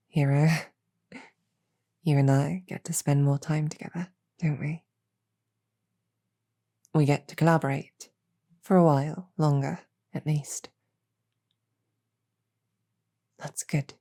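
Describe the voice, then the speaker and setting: A soft-spoken demoness archer acted by a woman in an audio drama, deferential and quietly affectionate. Her voice is low and mellow, with a hushed, gentle delivery at a very slow, measured pace. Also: heavily acted. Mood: softly affectionate.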